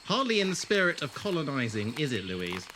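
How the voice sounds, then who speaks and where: An actor playing an eccentric, wordy alien time traveller in an audio drama, grandiose and wry. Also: male voice, fairly high pitch, very animated, a little fast, noisy background. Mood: wry, teasing.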